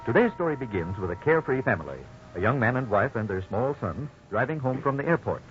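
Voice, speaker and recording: A man's voice: mid-range voice, expressive, fast talker, slight background noise. A man announcing a radio program in a dramatic, scripted 1950s style. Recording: muffled 1950s radio recording.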